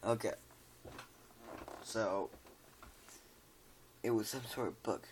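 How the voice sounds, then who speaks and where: A man's voice: fairly low pitch, slow, a little mellow, a little hiss or hum. A tired man casually reads a scary story aloud, stumbling over words and joking about them.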